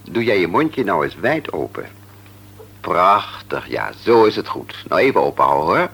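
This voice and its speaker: An actor playing a calm, kindly family doctor in a Dutch radio play, with a reassuring, businesslike bedside manner. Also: male voice, low voice, moderate pace, husky, heavily acted. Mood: kindly coaxing. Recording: noisy old radio play recording.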